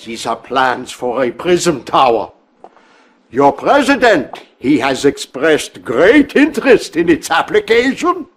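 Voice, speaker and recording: An actor playing an elderly, earnest scientist, speaking haltingly in a game cutscene. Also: male voice, a little high, sing-song. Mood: earnest, solemn. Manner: halting, deliberate, with repeated words. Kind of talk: dramatic game cutscene acting.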